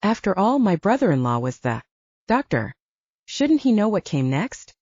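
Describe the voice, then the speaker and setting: A woman's voice: mid-range voice, sing-song, moderate pace, darkish tone, no echo, muffled recording. A woman reading a scripted first-person story as an audiobook narrator.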